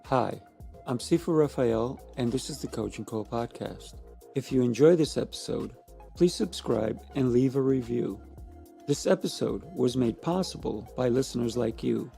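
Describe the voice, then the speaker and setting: A man's voice: mid-range voice, moderate pace, creaky, faint music behind. A middle-aged man hosting a podcast interview, chatty and encouraging, with lots of affirming interjections. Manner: warm, encouraging, with frequent affirmations. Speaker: podcast host. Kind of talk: conversational interview.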